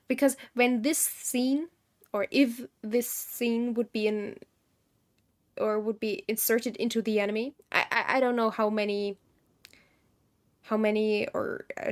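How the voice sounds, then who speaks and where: A woman's voice: mid-range voice, fairly even intonation, a little slow, smooth. A woman doing casual live gameplay commentary, musing aloud and giving her opinions. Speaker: game streamer. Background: game music.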